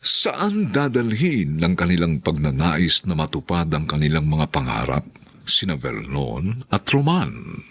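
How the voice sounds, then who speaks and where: Napakalalim, magaspang na lalaking tagapagsalaysay. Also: male voice, extremely low pitch, wildly swooping pitch, a little slow, fairly bright tone, slightly rough. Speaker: narrator and announcer. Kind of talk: dramatic scripted radio narration.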